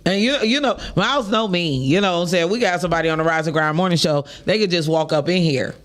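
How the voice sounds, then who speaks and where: A man with a Detroit accent co-hosting a morning podcast, talking in an animated, chatty way and laughing often. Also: high voice, quick pace. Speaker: podcast co-host. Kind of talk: casual chat.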